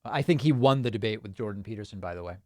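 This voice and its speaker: A man's voice: lowish voice, lively intonation, quick pace, darkish tone, dry recording, clean studio sound. A man from Argentina, a native Spanish speaker, hosting a political livestream and casually reading viewer comments aloud with frequent ums and uhs.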